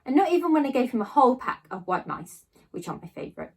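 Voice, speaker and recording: A woman reading a children's novel aloud, narrating in a child's first person with expressive storytelling emphasis. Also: high-pitched, fast talker, creaky, breathy edge. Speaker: storybook reader.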